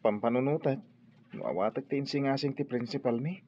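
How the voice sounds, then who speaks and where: A man acting as an earnest young son who wants to become a priest, speaking softly and hesitantly in a radio drama. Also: medium pitch, lively intonation, brisk. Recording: dull audio with faint noise.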